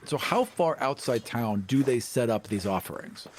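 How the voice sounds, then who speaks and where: Male game player, average pitch, casual role-play narration, some background ambience. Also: lively intonation, moderate pace, a little mellow. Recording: clear background noise and ambience.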